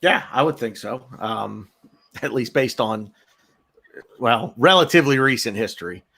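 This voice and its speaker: A man's voice: mid-range voice, expressive, measured pace, vocal fry, fairly bright tone, breathy edge. Podcast host guy, jokey and rambly, easygoing intros. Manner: loose, joking, rambling introductions. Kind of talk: casual podcast hosting.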